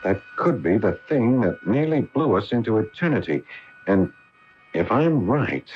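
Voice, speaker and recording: An actor playing an eager, awestruck astronaut commander in a 1980 radio drama, with an earnest, musing, dramatic delivery. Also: male voice, lowish voice, very animated, measured pace, soft background music. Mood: intrigued, excited. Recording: dull, noisy 1980 AM radio recording.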